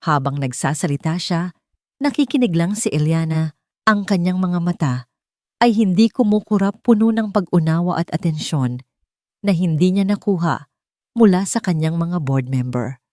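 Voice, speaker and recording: A woman's voice: on the low side, dark tone, no echo, studio quality. A woman reading scripted, dramatic story narration.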